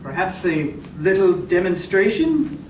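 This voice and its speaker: A man's voice: high voice, very animated, a little slow. A man playing an earnest, inquisitive scientist in a radio drama, with a careful, questioning and slightly hesitant delivery. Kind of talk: live radio drama acting. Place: library community room, live performance. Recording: echoey room from far off, noisy.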